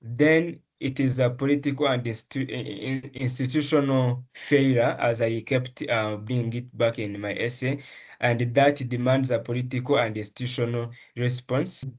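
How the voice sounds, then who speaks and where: A man's voice: mid-range voice, fairly even intonation. Earnest young man from Rwanda. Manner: earnest, measured, with frequent ums and restarts. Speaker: medical student, essay prize winner. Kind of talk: interview answers.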